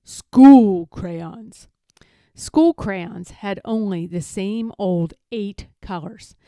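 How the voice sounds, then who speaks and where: A woman narrating a children's storybook aloud in a measured, expressive manner. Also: medium pitch, clean tone, no room sound. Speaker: storybook reader.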